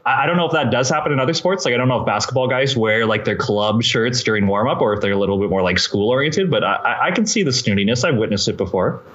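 A man's voice: medium pitch, expressive, fast, hardly any pauses, a bit bright. A man talking casually as a panelist in a podcast discussion. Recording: slightly dull, lo-fi audio.

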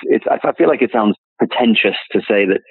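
A man's voice: lowish voice, a little fast, breathy edge, dry recording. A man being interviewed as a classic car dealer, rambling with many restarts and repeated words. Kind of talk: casual interview chat. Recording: tinny phone-line audio, dry.

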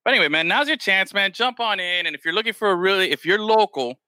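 A man's voice: high voice, expressive, quick pace, bright, forceful tone, no room sound, clean studio sound. A man hosting a casual podcast, talking loosely and peppering his talk with "man" and "bro". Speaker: podcast host. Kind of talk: casual chat.

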